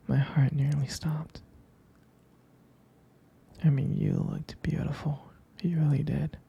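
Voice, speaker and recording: A man playing a tender, smitten boyfriend in an intimate ASMR roleplay, speaking softly and haltingly in a breathy near-whisper. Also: mid-range voice, full character voice. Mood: smitten, shy. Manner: hesitant, halting, gentle. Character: tender, smitten boyfriend reminiscing about a first date.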